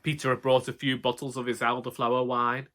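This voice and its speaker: Man, Northern English accent, comic audiobook narration, wry, colloquial, bright forceful tone, medium pitch. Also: completely flat delivery, a little fast. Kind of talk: comic first-person storytelling.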